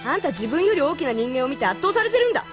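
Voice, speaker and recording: An actress playing a tough, frank young female mech pilot and former delinquent with rough, blunt speech in an audio drama. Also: sits high, sing-song, rapid-fire. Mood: challenging. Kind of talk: Japanese audio drama acting. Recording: music behind the voice.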